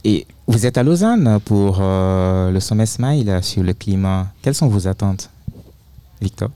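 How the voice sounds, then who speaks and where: A male radio host opens a live broadcast in a low, mellow voice. He is friendly but hesitant, and often pauses on fillers. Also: expressive, a little hiss or hum.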